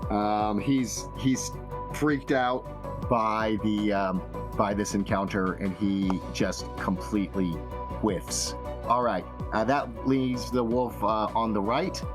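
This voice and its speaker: A man's voice: lowish voice, fairly even intonation, slow. A man narrating a tabletop role-playing game as game master, casual and free with swearing. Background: music.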